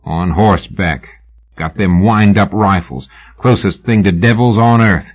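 A man reading an audiobook, voicing a menacing, weary masked Texian gunman who talks in a slow, dry, toneless drawl. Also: low-pitched, slightly rough, highs cut off. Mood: contemptuous. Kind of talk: audiobook character voice.

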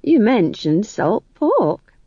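A woman's voice: medium pitch, big pitch swings, slow, dark tone, husky, no echo, lo-fi sound, voice pushed up, full character voice. A woman voicing a cheerful, bossy old witch in a storybook, blunt, folksy and chatty. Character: brash, bossy, cheerful old witch posing as humble wood-gatherer.

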